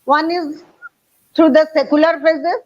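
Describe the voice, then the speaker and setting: A woman hosts an interview with a high voice and a slow, careful delivery. Her phrasing is formal and halting, and the audio sounds dull and muffled. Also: steady tone, a little mellow. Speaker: host. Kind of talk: interview hosting.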